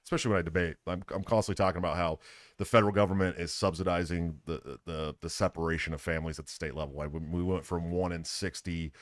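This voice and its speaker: A man's voice: deep voice, fairly even intonation, fast, dry recording, studio quality. An American man hosting a casual live interview, speaking in an earnest, informal way. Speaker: podcast host. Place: live at a political convention.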